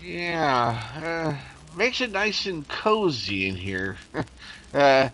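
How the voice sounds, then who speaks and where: Man doing a slow, animated, jumpy sidekick. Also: fairly high pitch, slight background noise, full character voice. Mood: uneasy. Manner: colloquial, hesitant, stammering bluster. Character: slangy, jumpy male detective's sidekick, blustering. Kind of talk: 1930s radio comic-relief acting.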